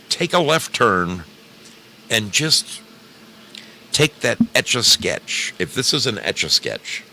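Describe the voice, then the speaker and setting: A man's voice: mid-range voice, vocal fry, sharp tone, slight background noise. A man hosting an online radio program in a rambling, casual monologue, joking and theatrical, repeating phrases for emphasis. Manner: jokey, theatrical, emphatic repetition. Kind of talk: rambling casual monologue to live stream audience.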